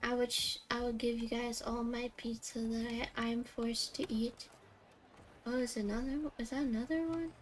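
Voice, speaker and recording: A woman's voice: fairly high pitch, steady tone, normal speed, poor audio. A woman game streamer giving casual live commentary, musing and reacting aloud in short remarks.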